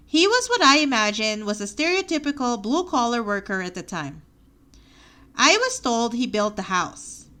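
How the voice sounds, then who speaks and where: A woman's voice: on the high side, pushed and bright. A young woman with a Filipino accent, reading her own personal story aloud on her podcast in an earnest, reflective way. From Philippines. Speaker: podcast host.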